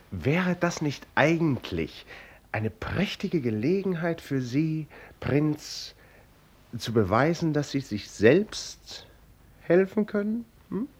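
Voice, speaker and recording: A man's voice: on the high side, big pitch swings, slow, slightly rough. A man narrating a radio play in an ironic, teasing manner, speaking as if directly to listeners and characters. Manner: ironic, teasing, chuckling asides.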